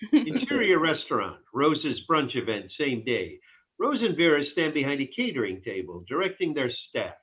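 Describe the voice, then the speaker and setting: Animated, husky man reading stage directions. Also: fairly high pitch, moderate pace, narrow, tinny audio. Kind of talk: scripted narration of a screenplay table read.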